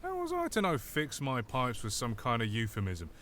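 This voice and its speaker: A cheerful but menacing mechanic in an audio drama. His voice bounces around a lot as he cracks dark jokes. There is faint noise in the background. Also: medium pitch, huge pitch swings, normal speed. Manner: cheerful and sinister, joking. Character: menacing jokey male island mechanic.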